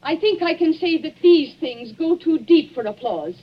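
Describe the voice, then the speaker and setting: A woman's voice: high voice, animated, normal speed. An actress playing a grand society lady addressing a village concert in a formal, declamatory, very proper manner, in old-time radio drama style. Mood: solemn. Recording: dull, noisy old radio recording.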